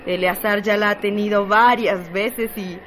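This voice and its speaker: A woman's voice: mid-range voice, fairly even intonation, measured pace, a bit bright, slight background noise, lightly acted, poor audio. A woman playing the sober narrator of a bleak literary tale in a radio drama.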